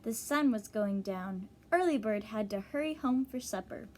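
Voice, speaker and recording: A woman teacher reading a children's storybook aloud, pausing to explain and ask young listeners questions. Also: medium pitch, expressive, a little mellow, slight background noise.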